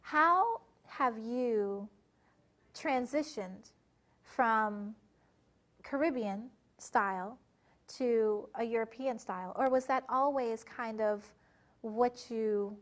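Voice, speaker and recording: A woman's voice: a little high, takes it slowly. A woman from the United States hosting a casual interview, bubbly and effusive, eagerly asking questions.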